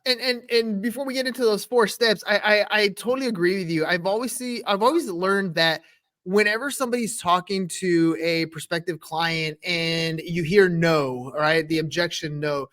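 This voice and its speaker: A podcast host in a middle pitch range keeps the chat going. He agrees with his guest and presses him to continue. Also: moderate pace, fairly bright tone. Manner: conversational, prompting the guest. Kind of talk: podcast interview.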